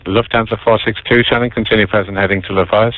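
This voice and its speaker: A man with a fairly low voice works as an air traffic controller. He gives clipped instructions, heard through a narrow, tinny radio channel. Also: steady tone, fast.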